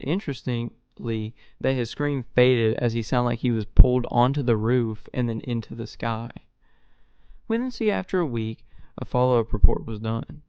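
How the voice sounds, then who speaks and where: A man's voice: medium pitch, smooth, no echo, lo-fi sound. A man hosting a video essay, giving a scripted explainer narration.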